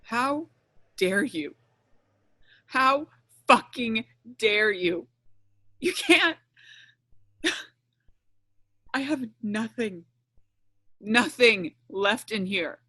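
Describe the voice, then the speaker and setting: A woman playing a distraught, lonely young prisoner in an audio play, halting and shaky, flaring into anger. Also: on the high side, animated, bright, forceful tone, dry recording, clean studio sound, heavily acted. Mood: wounded, resolute. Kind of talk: audio play acting over online stage reading.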